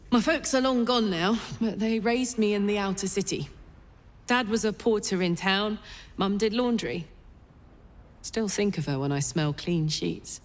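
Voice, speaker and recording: An actress voicing an eager, playful young adventurer on a date in a video game. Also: female voice, medium pitch. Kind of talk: dramatic video game acting.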